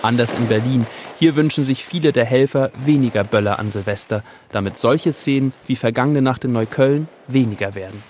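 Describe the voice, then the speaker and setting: Male news narrator, mid pitch, faint outdoor noise. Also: brisk, hardly any pauses, darkish tone. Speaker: news reporter.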